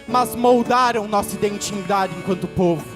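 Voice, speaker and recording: A man's voice: sits high, normal speed, long reverb tail, over music. An eighteen-year-old man with a gaúcho Brazilian accent gives a formal, declamatory oral presentation as a pageant contestant. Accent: Gaúcho (Rio Grande do Sul) Brazilian Portuguese. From Rio Grande do Sul, Brazil.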